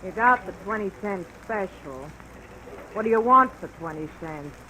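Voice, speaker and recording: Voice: medium pitch, normal speed, low-quality recording. A performer playing a bored, indifferent soda counter clerk in an old-time radio drama. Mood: bored, snippy. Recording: old radio recording, steady hiss.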